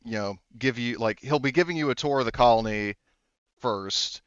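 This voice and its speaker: A man narrating casually as a tabletop game master, rambling and halting with frequent self-corrections. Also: mid-range voice, fairly bright tone, clear, resonant tone, no echo, lo-fi sound.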